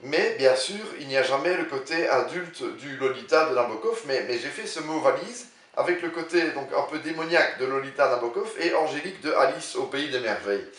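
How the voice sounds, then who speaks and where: A man in his sixties, an author answering interview questions in a halting way, often repeating words as he searches for them. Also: mid-range voice, expressive, fairly bright tone, recorded from a distance. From Belgium. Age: 60s. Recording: roomy sound, voice a bit off-mic.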